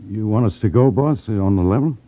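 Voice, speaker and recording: A man's voice: on the low side, quick pace, close to an everyday voice. An actor playing a tough, slangy henchman with a blunt manner in a 1950s radio crime drama. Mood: reluctant. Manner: slangy, blunt, matter-of-fact. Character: tough, blunt male henchman to a racket boss. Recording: tinny, muffled 1950s radio recording.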